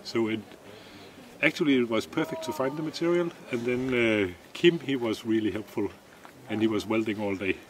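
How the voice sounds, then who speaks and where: A middle-aged man from Denmark, a visiting artist, talking in a halting way with many fillers, recorded outdoors in an open desert. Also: mid-range voice. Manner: halting, plain speech with frequent fillers. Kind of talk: casual interview. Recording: distant mic, noisy outdoors.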